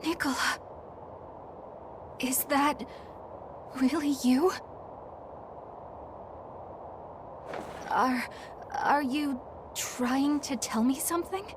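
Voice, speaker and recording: High-voiced, emotional young woman. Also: background noise. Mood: hushed disbelief. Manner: halting, emotional delivery. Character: gentle, grieving young woman, an undead revenant. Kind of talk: dramatic video game voice acting.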